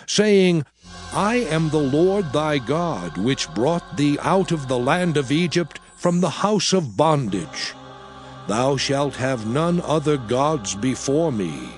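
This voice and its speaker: A grave prophet in an audio scripture drama, declaiming archaic English to his people. His fairly high male voice swings widely in pitch as he exhorts them with solemn emphasis. He takes his time over each phrase, with music underneath.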